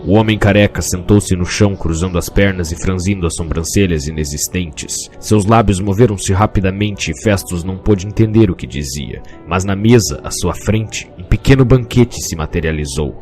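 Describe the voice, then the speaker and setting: A man's voice: low voice, moderate pace, few pauses, a little mellow, soft background music. A man reading a scripted fantasy tale as narrator, in a solemn, literary manner.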